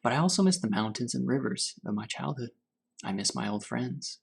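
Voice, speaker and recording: A man reading a storybook aloud as a teacher for children, pausing to put questions to his young listeners. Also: medium pitch, animated, creaky, husky. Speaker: teacher reading to fourth graders.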